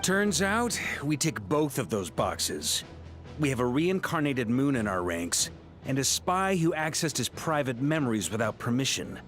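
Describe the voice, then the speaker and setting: An actor playing a steady, commanding knight grand master in a video game, speaking with measured authority. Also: male voice, mid-range voice, faint music behind. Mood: confident, commanding.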